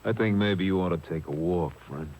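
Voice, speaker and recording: A man acting as a hard gangster in a vintage radio crime drama. His very low voice is curt and threatening. The old tape has faint noise. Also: fairly quick, a little mellow, lightly acted. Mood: menacing. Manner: clipped, menacing. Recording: noisy old radio broadcast on cassette.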